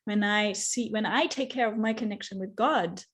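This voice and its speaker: A woman guest speaking earnestly about spiritual experience, searching for words and slowing down on key phrases. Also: mid-range voice, a bit bright, slight background noise. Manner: earnest, searching, many restarts and fillers. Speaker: guest, yoga teacher and facilitator. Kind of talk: reflective spiritual conversation.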